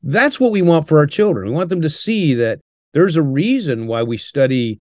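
A man's voice: on the high side, lively intonation, dry recording. Older man, earnest, dry close sound. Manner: earnest, measured, with frequent "uh" pauses. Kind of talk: interview answers, teaching tone.

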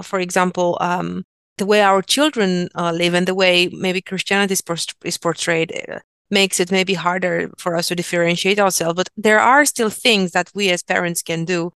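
A woman from Romania with a low, smooth voice that stays flat in pitch. She hosts an interview hesitantly, pausing often with "um". The sound is dry and close. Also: no room sound. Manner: hesitant, searching for words, many "um"s. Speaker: interview host. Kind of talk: interview conversation.

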